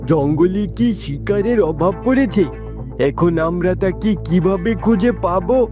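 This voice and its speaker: A man's voice: sits high, a little slow, over music. A man voicing a worried, dutiful royal guard in a fairytale cartoon, deferential and anxious.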